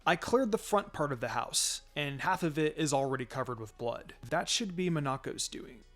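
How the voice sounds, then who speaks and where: Male narrator, higher-pitched voice, dramatic story reading, fan fiction, background music. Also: expressive, moderate pace.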